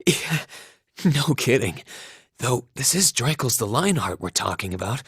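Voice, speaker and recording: A man's voice: mid-range voice, sing-song, measured pace, a bit bright. An earnest young military academy student in a game. His voice moves freely as he muses in a casual, reflective way, with a noticeable echo. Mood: casual, friendly. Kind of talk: game voice acting, musing dialogue.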